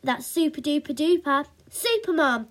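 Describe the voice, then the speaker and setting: A woman's voice: high voice, animated, moderate pace, pitched above the performer's own voice. A woman voicing a boastful mother who plays a daring superhero, making grand, bombastic proclamations in a storybook reading. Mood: grandly proud.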